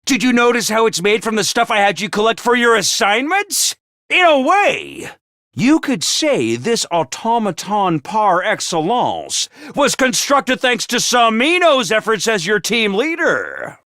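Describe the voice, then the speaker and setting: A man voicing a small, bossy male robot commander in a video game, theatrical and self-important, prone to shouting. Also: sing-song, unhurried, no room sound, clean studio sound, exaggerated voice. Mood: boastful. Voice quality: squeaky, over-the-top. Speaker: voice actor. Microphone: dry studio mic.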